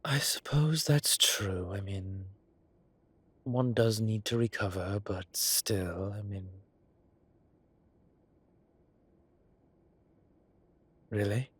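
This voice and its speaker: A suave gentleman boss, acted by a man for an audio roleplay, teasing and theatrical. His voice is husky and breathy, and he drawls very slowly with arch relish. The recording is close and dry. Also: on the low side, dry recording, exaggerated voice. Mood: knowing, teasing. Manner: drawling, arch, playfully dramatic. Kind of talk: scripted intimate roleplay acting.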